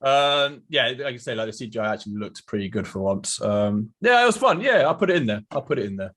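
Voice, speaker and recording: Animated, bright-voiced man in casual banter. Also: medium pitch, quick pace. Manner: chatty, repeating phrases. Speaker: podcast panelist.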